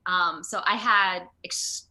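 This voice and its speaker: A woman actor talking casually as an interview guest, animated and quick to act out remarks. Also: lowish voice, rough recording. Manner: animated, acts out quoted remarks.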